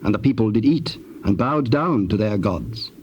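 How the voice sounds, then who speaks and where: A man narrating scripture for a dramatized audio Bible in a measured, formal way. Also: lowish voice, animated, darkish tone, lo-fi sound, close to an everyday voice. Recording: faintly hissy old cassette tape.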